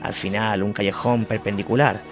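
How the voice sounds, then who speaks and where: A man's voice: fairly low pitch, steady tone, moderate pace. A man narrating a scripted documentary account. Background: music under the voice.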